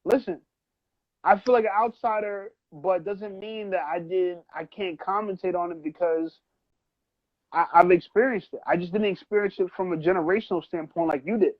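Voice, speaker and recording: A man's voice: sits high, normal speed, highs cut off. A man, first generation with Trinidadian parents, co-hosting a podcast and arguing his point in a heated casual debate, often adding "you know what I'm saying". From United States, child of Trinidadian parents. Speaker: podcast co-host.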